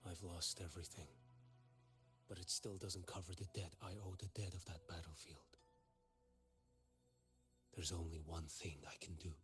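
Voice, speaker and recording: Game role: grim, resolute warrior burdened by guilt. Very deep register, measured and somber. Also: male voice, flat delivery, a bit bright, faint music behind. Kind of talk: dramatic video game acting.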